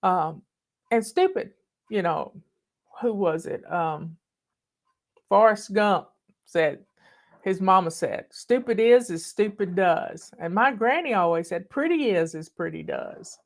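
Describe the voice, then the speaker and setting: A woman's voice: mid-range voice, expressive, slow pace. An older woman from the American South talks to her online followers in a thick Southern accent. She tells stories in a folksy, chatty way, calling people "y'all" and owning up cheerfully to being bossy. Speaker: online host. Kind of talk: casual storytelling chat. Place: home in the mountains.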